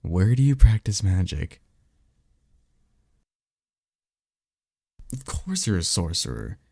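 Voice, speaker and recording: A blunt, sarcastic male vampire mentor played by a man for an audio roleplay. The voice sits low with a crackly fry and moves around expressively. The delivery is casual and exasperated, with conversational hesitations. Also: big pitch swings, normal speed, mellow, dry recording, clean studio sound, heavily acted. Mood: incredulous, exasperated. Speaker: voice actor. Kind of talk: ASMR roleplay audio drama, one-sided conversation.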